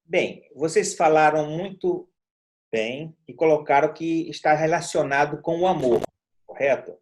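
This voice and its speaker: A man hosting a panel discussion, posing questions with lively, rising intonation. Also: fairly high pitch, unhurried. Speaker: discussion host. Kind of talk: moderating a panel.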